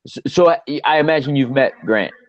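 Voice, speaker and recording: A man's voice: medium pitch, animated, brisk, muffled recording, crowd noise. A man hosting a live stream chat, enthusiastic and encouraging, with frequent restarts. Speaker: host of a live stream show.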